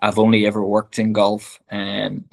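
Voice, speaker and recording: A man's voice: fairly low pitch, monotone, measured pace, vocal fry. A man from Northern Ireland with a Northern Irish accent, a golf director talking earnestly and heartfelt as a podcast guest. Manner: earnest, heartfelt, repeats "very much like". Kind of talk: casual conversational interview.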